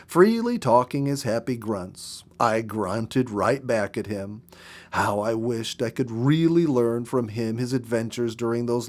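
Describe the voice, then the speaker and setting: Man reading a story with dramatic expression. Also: medium pitch. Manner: dramatic, deliberate, storytelling delivery. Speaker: story reader. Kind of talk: expressive scripted story narration.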